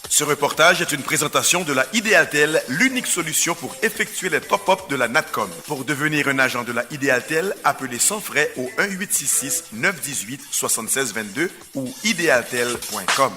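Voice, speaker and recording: A man with an extremely bright, forceful voice reads a scripted sponsor announcement. Faint music sits underneath him. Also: mid-range voice, animated, normal speed, few pauses.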